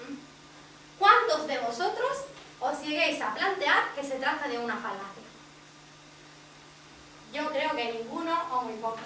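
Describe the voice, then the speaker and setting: A woman's voice: sits high, animated, measured pace, pauses often, sharp tone, a little hiss or hum. A woman with an Italian accent, a native Italian speaker, explaining ideas directly to a workshop group. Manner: explanatory, addresses audience directly. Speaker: workshop presenter. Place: indoor workshop room. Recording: echoey room, distant mic.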